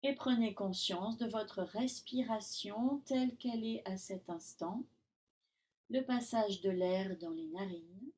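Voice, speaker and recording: A woman yoga teacher guiding a relaxation session in calm, instructional short phrases with long pauses. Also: mid-range voice. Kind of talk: guided Yoga Nidra relaxation.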